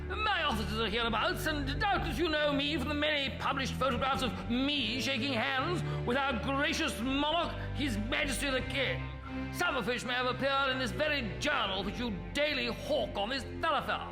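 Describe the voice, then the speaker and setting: An actor playing a pompous, blustering Edwardian explorer captain with a plummy upper-class manner, in live radio comedy. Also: male voice, very high-pitched, poor audio.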